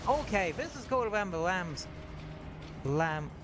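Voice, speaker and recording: A man's voice: sits high, very animated, measured pace, over music. A male game streamer giving casual gameplay commentary, joking and swearing offhandedly. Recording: noisy stream audio.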